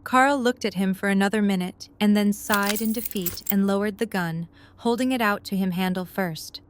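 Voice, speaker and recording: A woman's voice: mid-range voice, normal speed, slight background noise. A woman narrating a scripted story as the narrator of an audio drama.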